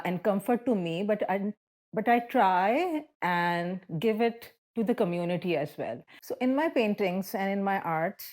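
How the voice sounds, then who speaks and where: Woman, low-pitched, earnest artist interview. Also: a little mellow. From Pakistan. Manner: halting, repeats her words, sincere. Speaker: artist and art instructor. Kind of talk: interview self-introduction.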